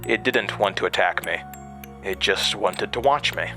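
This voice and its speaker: An actor playing a haunted man recounting a terrifying memory in a horror audio drama, halting and hesitant. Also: sits low, bright, forceful tone, faint music behind. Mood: haunted, tense. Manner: halting, hesitant, with stammered restarts.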